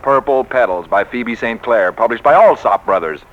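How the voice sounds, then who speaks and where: A man's voice: mid-range voice, lively intonation, moderate pace, lightly acted. An actor playing an exasperated, blustering male boss of a publishing house in a 1940s radio comedy. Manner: blustery, grumbling. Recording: dull, lo-fi 1940s radio recording.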